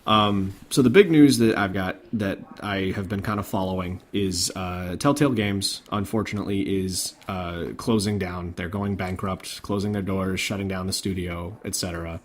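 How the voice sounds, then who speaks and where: A man's voice: low-pitched, moderate pace, few pauses. A man hosting a podcast, recounting news in an informal, conversational way. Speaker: podcast host. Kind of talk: casual podcast chat about game industry news.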